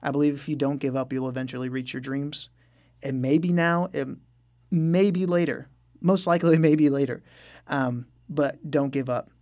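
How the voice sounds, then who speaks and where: A man's voice: on the high side, steady tone, normal speed, darkish tone. A man speaking as a musician interview guest, reflective and earnest in a conversational style.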